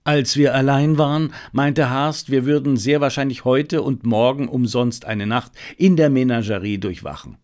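A man's voice: medium pitch, normal speed, few pauses, darkish tone. A man reading a detective story aloud as a scripted audiobook narration.

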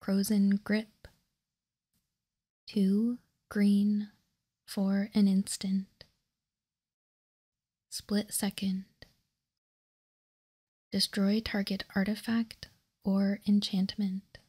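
A woman whispering in a breathy voice as she slowly and deliberately presents trading cards, ASMR style. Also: mid-range voice, little pitch movement, warm tone. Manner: slow, deliberate, reading card text piece by piece. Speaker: host of a trading card ASMR channel. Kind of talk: ASMR whispered card showcase.

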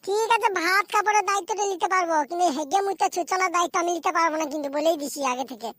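A performer voicing a hapless, foul-mouthed young cartoon groom in coarse slang, squeaky and childlike. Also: very fast, a bit bright. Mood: grumbling. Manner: coarse, grumbling, rural slang. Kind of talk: amateur comedy cartoon voice acting.

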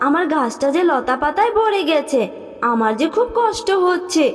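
A woman's voice: high-pitched, very animated, normal speed, in a large hall. A woman voicing a kindly, generous talking magic tree in a cartoon, earnest and reassuring. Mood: grateful.

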